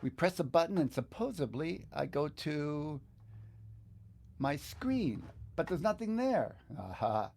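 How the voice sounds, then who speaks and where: A man's voice: fairly high pitch, sing-song, unhurried, gravelly, darkish tone. An American man in his sixties teaching on a livestream in a casual, conversational, easygoing way. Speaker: online guitar teacher and livestream host. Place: small indoor home studio.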